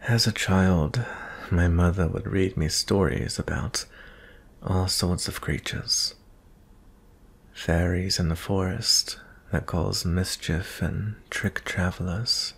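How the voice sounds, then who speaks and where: A man playing a gentle, weary young prince in a fantasy ASMR roleplay, speaking softly and intimately in a breathy, husky voice with frequent pauses. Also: sits low, fairly even intonation, heavily acted. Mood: wistful. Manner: slow, hushed, pausing often.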